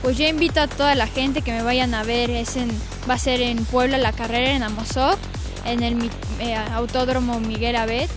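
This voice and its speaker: A woman's voice: a little high, fairly even intonation, moderate pace. A young female kart racer, answering interview questions outdoors in an earnest, plain-spoken way. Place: outdoors by a fountain. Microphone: interviewer's handheld mic. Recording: music bed under voices.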